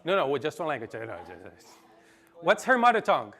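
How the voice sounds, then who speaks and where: Presenter at a language event, a man with a somewhat high voice, casual and full of restarts. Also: huge pitch swings, quick pace, vocal fry, pushed and bright. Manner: loose, repetitive, many restarts. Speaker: conference presenter. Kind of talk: presentation talk with audience quiz.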